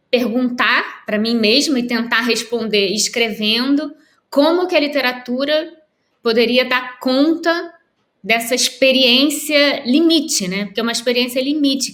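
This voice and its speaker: A Brazilian woman author, a guest on a remote interview, answering in a thoughtful, measured way with pauses and restarts. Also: on the high side, fairly bright tone. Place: at home in Lisbon, by a window. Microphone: video call. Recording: echoey room on a video call.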